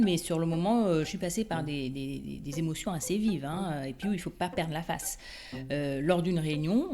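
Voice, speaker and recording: A middle-aged woman from the Democratic Republic of the Congo telling her own story conversationally, animated and often searching for her words. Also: on the low side. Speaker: interview guest, independent export consultant. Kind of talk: personal testimony, conversational storytelling.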